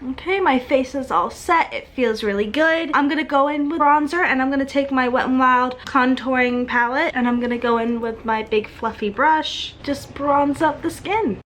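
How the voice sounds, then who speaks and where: A woman's voice: high-pitched, moderate pace, hardly any pauses, a bit bright. A young woman in her twenties chatting casually through her everyday makeup tutorial, peppering her talk with "like". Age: young adult. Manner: chatty, frequent "like" fillers. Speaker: beauty vlogger, esthetician.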